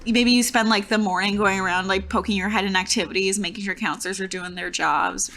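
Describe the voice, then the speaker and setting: Woman, mid pitch, clear-cut bright tone, casual tabletop game discussion. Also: steady tone, brisk.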